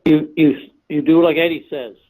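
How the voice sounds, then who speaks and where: A man's voice: on the high side, steady tone, moderate pace, a little mellow, rough recording. A man answering in a group interview on a conference call, explaining his points in an instructive, emphatic way. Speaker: officiating coordinator, interview guest.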